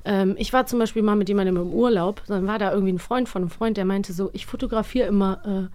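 A woman's voice: medium pitch, fast talker, darkish tone. A woman co-hosting a podcast in casual discussion, speaking frankly and giving her opinions openly. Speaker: podcast co-host.

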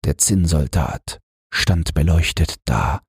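A man with a very deep, breathy voice reads a fairy tale slowly and soothingly. His delivery is unhurried and gentle, in a dry, close-miked sound. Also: dry recording. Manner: slow, soothing and even. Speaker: audiobook reader. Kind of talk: calm bedtime story narration.

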